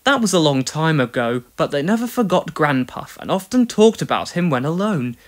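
A man reading a scripted children's story aloud as narrator, with expressive delivery. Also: on the high side, moderate pace.

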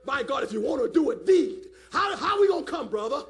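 A man a fiery call-and-response sermon, emphatic and repetitive, often rising to a shout, with a gravelly voice. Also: extremely high pitch, big pitch swings, fairly quick. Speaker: preacher.

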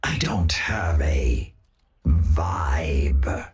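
An extremely deep, growling man, surly and menacing as a dark video game mercenary. He drags his words out very slowly in a breathy rasp. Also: animated, soft background music, exaggerated voice. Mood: surly menace.

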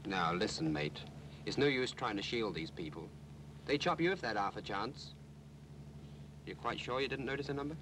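An actor playing an official, questioning police sergeant with an English accent in an old British film. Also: male voice, lowish voice, animated, normal speed, pauses often, close to an everyday voice. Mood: brisk, probing. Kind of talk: 1950s British film acting. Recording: faintly noisy old film soundtrack.

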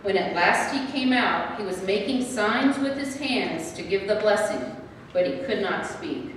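A woman's voice: mid-range voice, animated, measured pace. Lady narrator reading bible story, church hall reverb, far from the mic. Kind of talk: scripted scripture narration. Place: large church sanctuary. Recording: echoey church from far off, faint noise.

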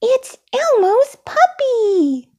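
Woman voicing a high-pitched, eager little monster. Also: sing-song, slow, mellow, heavily acted. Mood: excited. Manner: childlike, refers to self in third person. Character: small eager childlike monster, polite, speaks in third person. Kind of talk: children's storybook character voice.

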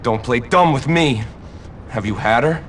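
A man's voice: medium pitch, unhurried, fairly bright tone, a little hiss or hum, lightly acted. A man playing a jealous, paranoid teenage greaser gang leader, agitated and melodramatic, in a video game cutscene. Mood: accusing, paranoid. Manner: agitated, melodramatic, tough-talking.

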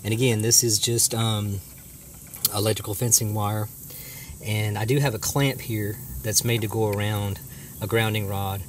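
A middle-aged man with a fairly low voice explains things casually, pausing often as he goes. He is recorded on a handheld camera with faint background noise. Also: fairly even intonation. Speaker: homestead vlogger. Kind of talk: casual hands-on demonstration. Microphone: handheld camera, speaker behind it.

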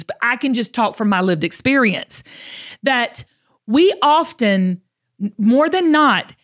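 A woman's voice: a little high, a bit bright. A woman with a Southern US accent hosting a motivational talk podcast, emphatic and folksy, repeating phrases to drive them home. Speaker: show host.

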